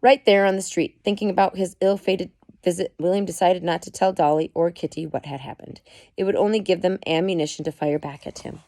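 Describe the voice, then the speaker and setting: A woman's voice: lowish voice, fairly even intonation, fairly quick, darkish tone, dry recording. A mother reading a book aloud to her children at home, stopping often to comment and explain. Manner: chatty, stops to comment and explain to listeners.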